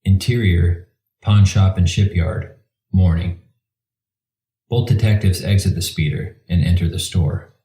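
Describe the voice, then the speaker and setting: A man's voice: low voice, a little slow, pauses often, mellow. A man reading scripted scene directions as the narrator of an audio drama, with a roomy echo.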